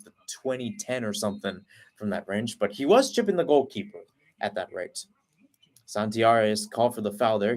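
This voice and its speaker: A man calling a soccer match live, play by play, in a voice of ordinary middle pitch. He keeps a steady running call of each pass and lifts and pushes louder when an attack builds. He speaks close into a headset mic. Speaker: play-by-play commentator.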